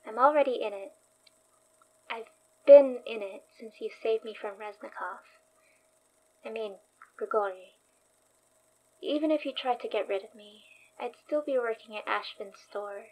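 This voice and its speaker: A woman playing an earnest, anxious, loyal young store worker in a naturalistic horror audio drama, speaking with a hesitant, halting manner. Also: fairly high pitch, darkish tone, lightly acted, low-quality recording. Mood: earnest, insistent.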